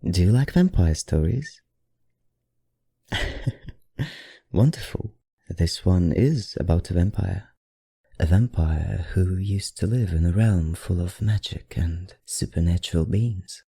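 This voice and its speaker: A man performs a suave vampire librarian with a very dark, husky murmur and an affected accent. He takes his time, courtly and softly teasing, with a quiet predatory edge. It is an intimate ASMR roleplay, recorded close and dry. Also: expressive, slow pace, long pauses, no echo, exaggerated voice. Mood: inviting, playful. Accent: affected, non-native accent. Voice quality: low, dark, gravelly murmur.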